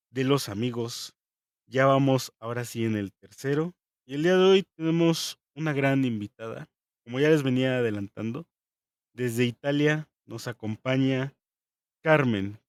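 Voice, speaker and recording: A man's voice: mid-range voice, no echo, clean studio sound. A man from Mexico hosting a podcast, chatting in a friendly, upbeat way with his guest. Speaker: podcast host.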